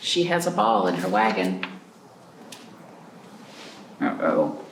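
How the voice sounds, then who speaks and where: A woman hosting a storytime for young children, reading expressively with drawn-out phrases and pausing to put questions to her listeners. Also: extremely low pitch, very animated. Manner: prompting listeners with questions and pauses. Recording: roomy, distant mic, faint noise.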